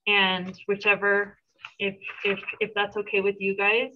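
A woman's voice: mid-range voice, little pitch movement, measured pace, slight background noise. A woman in her thirties, a teacher, talking and reading on a video call from a school room. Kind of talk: casual talk and poem reading on a video call. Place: classroom or school office with bookshelves. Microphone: laptop mic on a video call. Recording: slightly dull video-call audio.

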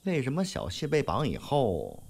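An actor playing an informal, loyal young underling in an audio drama, slangy and hesitant. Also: male voice, medium pitch, huge pitch swings, normal speed, vocal fry, darkish tone, a little hiss or hum. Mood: hesitant, probing. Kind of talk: Chinese audio drama acting.

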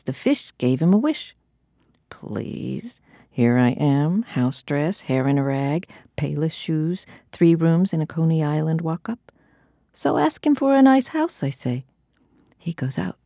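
A woman performs spoken-word poetry in a deep, dark voice with a husky grain. Her delivery is theatrical and deliberate, with breathy, hushed drops. The sound is close and dry. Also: no echo. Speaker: poetry reader.

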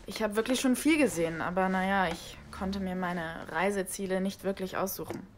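An actress playing a thoughtful, reserved woman who speaks hesitantly and gently questions in an audio drama. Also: medium pitch, normal speed, slight background noise, close to an everyday voice. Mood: wistful. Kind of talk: naturalistic German audio drama acting.

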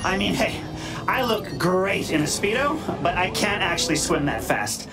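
An actor playing a flippant, theatrical masked hacker in a video game, sarcastic and joking but stubborn. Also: male voice, high-pitched, big pitch swings, moderate pace, rough recording. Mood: wry, joking. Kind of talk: video game cutscene acting. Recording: music behind the voice.

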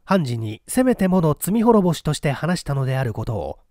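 Male audiobook narrator, literary mystery reading, deliberate dramatic delivery, animated intonation, dry close sound. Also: a little high, no room sound. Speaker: audiobook narrator, stage actor. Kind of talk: scripted dramatic story narration.

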